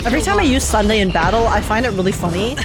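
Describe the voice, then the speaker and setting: A young woman streaming a game, reacting casually and excitedly with giggly, slangy enthusiasm. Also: mid-range voice, very fast, over loud music.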